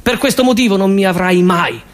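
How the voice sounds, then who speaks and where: A man's voice: high-pitched, fairly quick, in a large hall, natural voice. A man playing a vain, self-pitying male would-be seducer in a stage comedy, declaiming in short repetitive statements. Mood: boastful.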